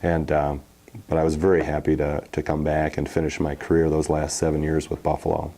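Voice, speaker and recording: A man in his forties, a former football player, telling stories from his playing days in a relaxed interview, with many fillers and restarts. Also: very deep voice, fairly quick, creaky. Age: 40s. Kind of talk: casual storytelling interview.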